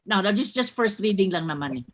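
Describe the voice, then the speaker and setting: A woman's voice: mid-range voice, expressive, fast. A woman with a Filipino accent talking casually with a group on a video call. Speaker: participant in a script table read. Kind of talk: casual group discussion, mixing Tagalog and English. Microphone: video call microphone.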